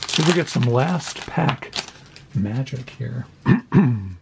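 Gravelly hoarse man opening cards. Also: medium pitch, takes it slowly, very noisy. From United States. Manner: deadpan mock horror, dry humor. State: slightly hoarse. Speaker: card-opening channel host. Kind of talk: mock-scared card-opening commentary. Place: home desk with Halloween decor.

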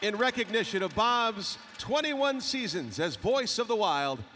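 High, bright male announcer in an echoing arena. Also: sing-song, unhurried. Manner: formal, projected public-address delivery. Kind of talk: scripted ceremony announcement. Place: large indoor ice hockey arena. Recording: echoing arena PA with clear crowd ambience. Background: arena ambience and noise.

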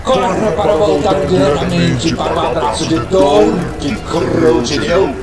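A man's voice: a little high, measured pace, lightly acted. A man voicing a cruel phantom voice that torments a fighter in an audio drama. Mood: taunting. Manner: taunting, cruel. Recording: roomy echo under loud music.

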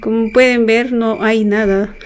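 A woman's voice: on the high side, steady tone, measured pace, background noise. A Peruvian-accented woman in her thirties chatting affectionately in a casual home vlog, full of diminutives. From Peru. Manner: affectionate, uses many diminutives. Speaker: vlogger. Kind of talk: casual family vlog chat. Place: indoors at home. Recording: music playing behind the voice.

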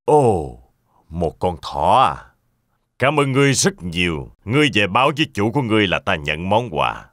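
A man voicing a gracious old king with a stately, measured manner in a children's fairy-tale cartoon. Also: lowish voice, sing-song, a bit bright, dry recording, studio quality. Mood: pleased.

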